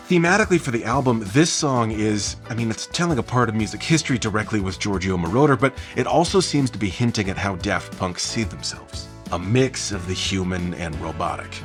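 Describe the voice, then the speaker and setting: A man giving an enthusiastic, conversational video essay commentary, explaining things with emphasis as host. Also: fairly low pitch.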